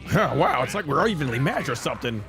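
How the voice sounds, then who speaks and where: A man's voice: medium pitch, sing-song, quick pace, music in the background. A man voicing a cocky, battle-hungry martial-arts hero in a fan dub over a fighting game, with brash taunts. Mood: cocky, fired up.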